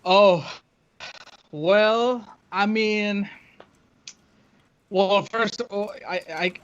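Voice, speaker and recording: A man's voice: sits high, fairly bright tone. A man from Sri Lanka whose first language is Tamil, chatting casually on a livestream, laughing often and restarting his sentences. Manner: laughing, halting, many restarts. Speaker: guest. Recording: dull lo-fi stream audio.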